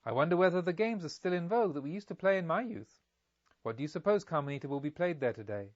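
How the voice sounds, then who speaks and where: A man's voice: a little high, lively intonation, fast, no room sound, dull audio, close to an everyday voice. A man voicing a genial, pompous elderly merchant father in an audiobook, with effusive, leisurely speech. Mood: genial, probing. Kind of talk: audiobook character dialogue.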